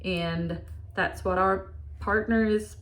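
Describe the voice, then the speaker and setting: A woman's voice: medium pitch, steady tone, slow. A woman from Denmark with a Danish accent, a relationship and breakup coach speaking earnestly to her viewers, with many fillers and restarts. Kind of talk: direct-to-camera talk to viewers.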